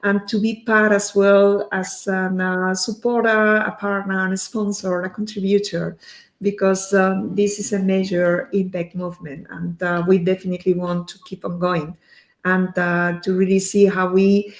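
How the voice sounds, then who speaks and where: A woman hosting a live stream interview, speaking in halting, loosely built phrases. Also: mid-range voice, little pitch movement. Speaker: host of a livestream. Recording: echoey room sound.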